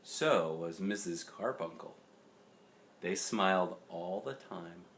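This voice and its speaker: A man's voice: sits low, slow pace, a bit bright, a little hiss or hum. A man reading a children's picture book aloud as a dad would, with dramatic emphasis and chatty questions to the listener. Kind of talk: expressive children's storybook narration with chatty asides.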